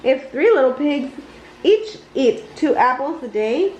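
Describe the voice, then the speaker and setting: A woman reading a children's picture book aloud with an expressive, deliberate storytelling delivery in an indoor room. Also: sits high. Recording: roomy, dull home recording with noise.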